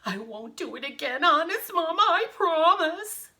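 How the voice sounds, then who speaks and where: An enormous, childish bully of a storybook giant, acted out by a woman. The delivery is emphatic and bright, swinging widely in pitch with stretched, theatrical words. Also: sing-song, full character voice. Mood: sheepish. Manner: loud, blustering, drawn-out words. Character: enormous boastful male giant, a bully cowed by his mother.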